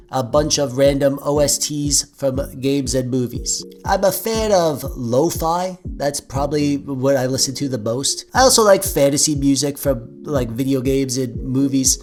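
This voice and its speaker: Nasal, dorky man joking through viewer questions. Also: medium pitch. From Canada. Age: 40s. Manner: dorky, joking, slight stutter. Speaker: YouTube host. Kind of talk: casual Q&A vlog.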